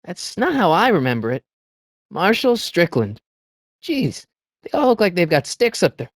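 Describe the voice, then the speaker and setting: A man's voice: high-pitched, big pitch swings, normal speed, no room sound, studio quality, heavily acted. A man playing an earnest, anxious American teenage boy in a video game, with a hesitant, stammering way of talking to himself. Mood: awkward, uneasy. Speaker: voice actor. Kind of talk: video game character voice acting.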